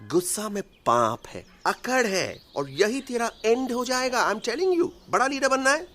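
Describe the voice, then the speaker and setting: Showy, wildly swooping cartoon peacemaker. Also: male voice, very high-pitched, very animated, pushed and bright, faint music behind, heavily acted. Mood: preachy. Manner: showy, mixes English phrases into Hindi, drawn-out emphasis. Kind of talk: animated film comic voice acting.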